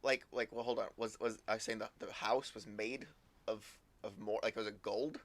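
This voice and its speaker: A man giving casual live gameplay commentary in a halting, rambling way, often repeating words. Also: on the low side, steady tone. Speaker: game streamer. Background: faint game music.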